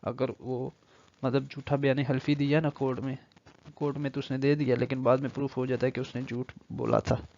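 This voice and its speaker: A man's voice: mid-range voice, flat delivery, a little fast, gravelly, a little mellow. A man with a Pakistani accent gives an online law lecture, reading out text and explaining it as he switches between Urdu and English. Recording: dull lo-fi microphone sound.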